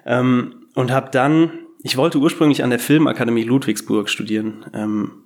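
A young man talks at an ordinary middle pitch as a podcast guest. He rambles through his story and keeps playing down his own skill. The tone is relaxed and chatty. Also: normal speed. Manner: rambling, self-deprecating storyteller. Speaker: guest game designer. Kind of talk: casual podcast interview. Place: Berlin.

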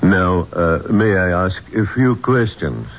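An actor playing a formal, probing police inspector in a 1970s radio drama, questioning in a measured way. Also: male voice, sits low, animated, exaggerated voice. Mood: official. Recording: dull, noisy 1970s radio recording.

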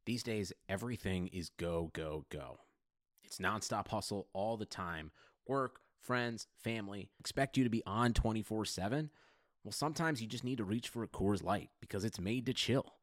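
A man reading a scripted radio-style advertisement in a smooth, laid-back way. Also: lowish voice, moderate pace, no echo, clean studio sound. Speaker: ad announcer. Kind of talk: scripted ad read.

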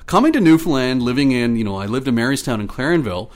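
A man's voice: mid-range voice, animated, quick pace. A Canadian man speaking as an interview guest, talkative and good-natured, telling things in an anecdotal, conversational way. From Ottawa, Canada.

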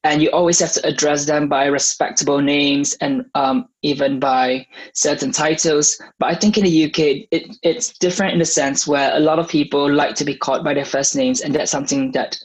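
A young man from Malaysia, a law student, answering interview questions in a thoughtful, measured way. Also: on the high side, fairly even intonation, rough recording.

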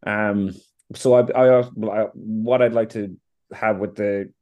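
A man with an Irish accent, chatting as a guest on a podcast. His voice sits a little low, and he rambles through his points with frequent restarts and easy laughter. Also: fairly even intonation, slow, muffled recording. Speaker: podcast guest, fan banner maker. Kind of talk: casual podcast chat.

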